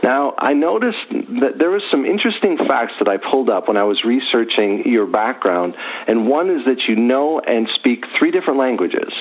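A man's voice: medium pitch, very animated, moderate pace. A man hosting a podcast, interviewing a guest in a friendly, self-deprecating way. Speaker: podcast host. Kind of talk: interview conversation. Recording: muffled lo-fi audio.

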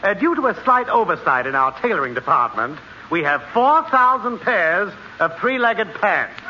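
A man's voice: high voice, big pitch swings, moderate pace. A haughty floorwalker in a 1940s radio comedy. He is prim and indignant. The old broadcast is muffled, with heavy noise. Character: haughty, prim male department store floorwalker.

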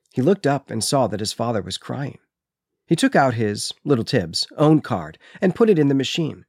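Male literary podcaster, average pitch, explanatory and articulate, very dry sound. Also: darkish tone, no echo. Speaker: podcast co-host. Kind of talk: literary discussion and reading aloud from a book.

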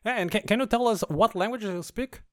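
Man, smooth voice, slightly high, friendly podcast host. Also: fairly quick, no room sound. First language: Portuguese. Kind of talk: hosting an interview.

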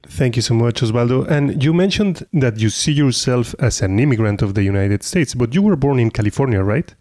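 A man's voice: medium pitch, expressive, a little fast, darkish tone. A man hosting a podcast, reading a formal introduction and asking interview questions in a polite way. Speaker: podcast host.

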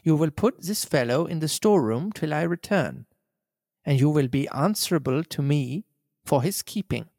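A man voicing a cold, clever male villain who speaks in a calm, measured, threatening way. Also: on the high side, creaky, dry recording, studio quality, big-room sound. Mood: commanding.

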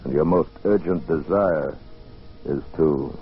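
Creepy deep radio suspense host, old muffled recording, music behind. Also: male voice, slow. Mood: ominous, insinuating. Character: ominous male host of a radio suspense anthology. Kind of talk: 1950s radio drama narration.

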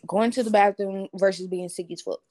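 A woman's voice: medium pitch, fairly even intonation, a little fast, dark tone. A woman co-hosting a podcast in a casual chat, playful, laughing often and using slang. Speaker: podcast co-host.

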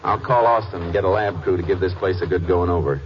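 An old, muffled radio recording of a man acting as a Texas Ranger on a case. His voice is very low, his manner calm and methodical. He speaks with clipped, matter-of-fact authority, in classic radio drama style. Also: fast talker, natural voice. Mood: businesslike, focused. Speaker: actor in lead role and narrator. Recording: dull old-time radio recording with music.

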